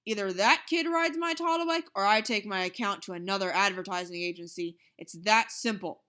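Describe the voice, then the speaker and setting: A big, blustering boss man bellows his demands in a storybook reading, put on by a woman reader. His voice is loud and bossy, rising and swooping as he insists. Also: mid-range voice, lively intonation, brisk, close to an everyday voice. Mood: insistent. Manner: shouting, bossy. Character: big loud blustering male company president.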